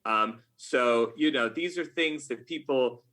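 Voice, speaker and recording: An American man chatting as an interview guest in a casual, halting way, thinking aloud. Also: mid-range voice, pushed and bright. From United States. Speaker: podcast guest, game writer.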